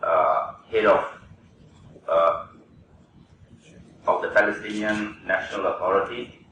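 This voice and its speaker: A man serving as counsel, addressing judges politely and haltingly in a formal hearing. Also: deep voice, steady tone, pushed and bright, slight background noise. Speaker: counsel at a tribunal. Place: large courtroom. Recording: very echoey courtroom, from far off.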